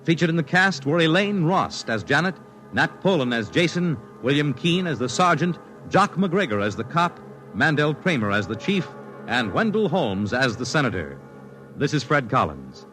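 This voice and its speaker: A man's voice: a little high, very animated, normal speed, fairly bright tone, faint music behind. A man with an American accent announcing in a polished, dramatic, scripted 1950s radio style.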